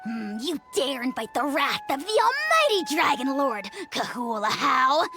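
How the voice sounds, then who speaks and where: A woman's voice: high-pitched, sing-song, unhurried, music in the background. A woman voicing a haughty, self-proclaimed almighty dragon lord in a video game, declaiming grandly in the royal we. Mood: indignant, threatening. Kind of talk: video game character acting.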